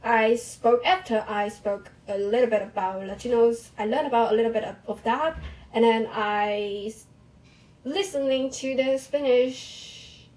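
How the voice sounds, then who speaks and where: A young woman whose first language is Mandarin Chinese, talking casually about her own experience in halting English with restarts. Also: fairly high pitch, fairly even intonation, a little mellow, low-quality recording. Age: young adult. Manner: halting non-native English with restarts and small laughs. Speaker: vlogger. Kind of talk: casual personal monologue.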